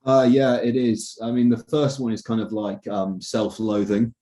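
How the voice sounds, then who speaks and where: A man's voice: mid-range voice, little pitch movement, normal speed, mellow. A young British-raised man, a journalist, talking earnestly in a casual podcast interview with many restarts and fillers.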